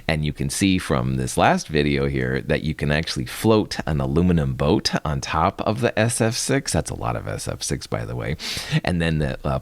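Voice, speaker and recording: A man teaching a recorded chemistry lecture in a relaxed, conversational way, chuckling often as he explains. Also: extremely low pitch, dry recording. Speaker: chemistry instructor.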